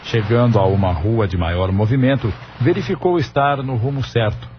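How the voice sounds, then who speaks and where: A man's voice: medium pitch, normal speed, noisy background. A man reading scripted narration for an audio drama as its narrator. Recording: muffled studio narration over music.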